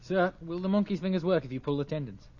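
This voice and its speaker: An actor playing an eager, curious, respectful young English working lad in a radio drama. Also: male voice, on the high side, fast talker, warm tone, lightly acted. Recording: dull, lo-fi radio drama tape.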